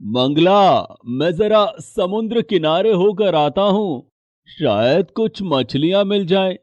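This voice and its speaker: Male VO: tired aging cartoon fisherman, soft-spoken, unhurried. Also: high-pitched, expressive, dull audio, a little hiss or hum. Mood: worried. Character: aging poor male fisherman, weary and gentle. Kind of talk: cartoon story voice acting.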